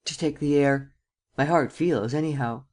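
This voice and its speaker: Woman voicing a forceful, imperious nobleman. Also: deep voice, normal speed, natural voice. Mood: quiet, assured. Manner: imperious, vehement. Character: commanding ruthless male nobleman, forceful.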